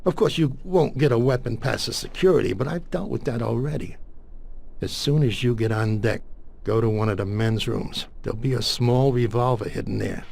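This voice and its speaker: Mob consigliere, very gravelly, husky, cool-headed, streetwise slang, video game cutscene. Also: male voice, mid-range voice, darkish tone.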